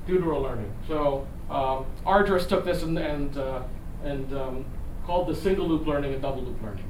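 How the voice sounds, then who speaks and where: A middle-aged man giving a conversational lecture, halting and restarting phrases as he explains with rhetorical questions. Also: mid-range voice, darkish tone. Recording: echoey room, distant mic, noisy background.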